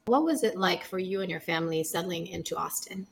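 A woman's voice: lowish voice, a little fast, creaky, breathy edge. A woman hosting an interview, upbeat and encouraging as she asks questions. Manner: upbeat, encouraging, laughs easily. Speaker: podcast host.

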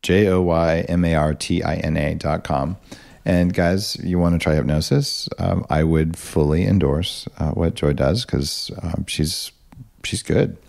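Podcast host, male, low and gravelly, easygoing and jokey. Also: fairly even intonation, a little slow, a little mellow. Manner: laid-back, chatty, joking. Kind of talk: casual interview chat.

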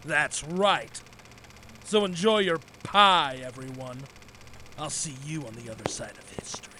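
An actor in an audio drama playing a pompous, belligerent public safety commissioner, bombastic and declamatory. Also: male voice, a little high, a little slow, noisy background, natural voice. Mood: blustering, defiant. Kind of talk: audio drama acting, satirical comedy.

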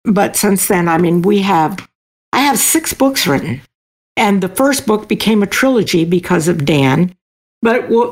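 An older woman chatting casually as an interview guest, enthusiastic and emphatic in how she talks. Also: mid-range voice. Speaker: podcast guest, author.

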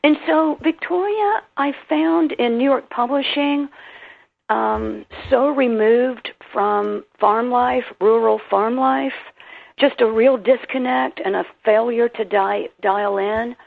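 A woman's voice: a little high, slow pace. A woman with a South Carolina and Tennessee accent, an author guest telling her story animatedly in an interview. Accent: Southern American, South Carolina and Tennessee. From American South. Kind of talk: interview on a phone line. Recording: tinny phone line with gravelly fry.

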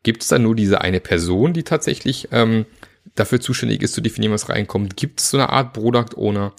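A man's voice: on the low side, brisk, vocal fry. A man with a cold giving a casual, chatty lesson on team practices, full of fillers and asides. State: has a cold, sore throat. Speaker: agile coach teaching teams. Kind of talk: informal spoken lesson.

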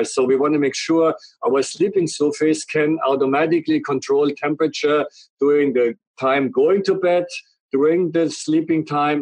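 A man's voice: mid-range voice, no room sound, rough recording. Man with a strong German accent. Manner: earnest, explains in long run-on sentences. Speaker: guest, company representative. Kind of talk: explanatory interview talk.

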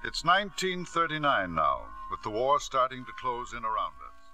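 An actor playing a forceful, domineering middle-aged man in a 1950s radio drama, with faint music behind. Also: medium pitch, big pitch swings, moderate pace. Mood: reflective.

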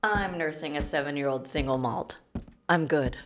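An actress playing a dry, deadpan woman who runs an agency in a comedy audio drama. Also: sits low, moderate pace, a little hiss or hum, close to an everyday voice. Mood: wry. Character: dry, unflappable woman boss of a secret agency. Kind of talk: comedy fiction podcast acting.

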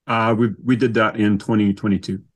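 A man's voice: on the low side, little pitch movement, fairly quick. A man with a Canadian accent telling stories casually as an interview guest, in an easygoing, self-deprecating way. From Canada, southern Saskatchewan. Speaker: podcast guest.

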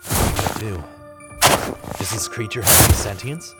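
A man's voice: lowish voice, normal speed, close to an everyday voice. A man playing an earnest investigator in a horror audio drama, with grave, dramatic delivery as he hunts a killer. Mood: alarmed. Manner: grave, dramatic inner narration. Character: earnest male investigator hunting a killer in monster-haunted woods. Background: loud music.

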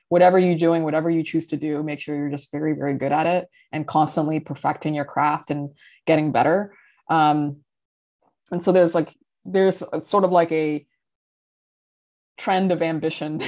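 Low-voiced woman founder, fluent and chatty. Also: darkish tone. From Sudan. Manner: fluent but full of fillers and restarts, laughs often. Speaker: guest, company founder and CEO. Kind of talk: casual interview talk.

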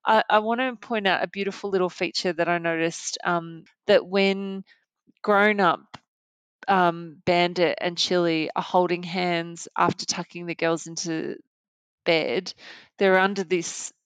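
A woman with a slightly low voice chats as co-host of a fan podcast. Her manner is gushing and appreciative. The recording is very dry, with no room sound. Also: steady tone, unhurried, clean tone, no echo. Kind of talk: casual fan podcast chat.

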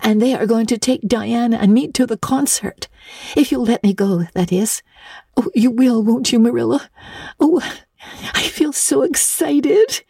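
A woman's voice: fairly high pitch, measured pace, gravelly, warm tone, husky, no echo, clean studio sound, pitched above the performer's own voice, heavily acted. A woman voicing an eager, imaginative young girl in a storybook reading, breathless, gushing and earnest as she pleads. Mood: excited, gushing. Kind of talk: dramatic audiobook reading of classic literature.

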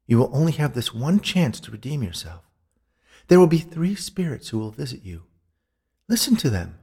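A man voicing an older female ghost in a storybook, soft and airy, solemn and admonishing. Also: mid-range voice, big pitch swings, moderate pace, slightly rough, pitched above the performer's own voice. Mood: solemn warning. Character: older female ghost, soothing, earnest, exasperated.

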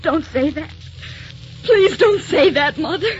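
An actress playing a tender, devoted young wife in a 1940s radio drama, earnest and romantic. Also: female voice, high-pitched, lively intonation, slow pace. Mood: anxious, heartbroken. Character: tender, devoted, frail twenty-three-year-old wife of a writer. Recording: dull vintage 1940s radio recording, music behind.

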